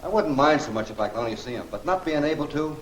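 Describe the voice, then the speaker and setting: A man's voice: mid-range voice, lively intonation, fast, close to an everyday voice. An actor playing a wary male gangster henchman in a 1940s film serial, terse and slangy. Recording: echoey, distant, noisy 1940s film soundtrack.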